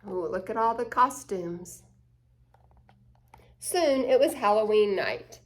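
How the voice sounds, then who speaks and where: Children's story reader, woman: lively read-aloud, gasps and asides, unhurried. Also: mid-range voice, slight background noise. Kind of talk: picture book read-aloud.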